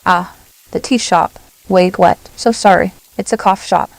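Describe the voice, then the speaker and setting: A woman presents a software tutorial in short, simple steps. Her voice sits on the low side with a noticeable creak. It is recorded dry and close, over soft background music. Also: moderate pace, dry recording, rough recording. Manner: short, simple instructional phrases. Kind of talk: step-by-step software tutorial narration.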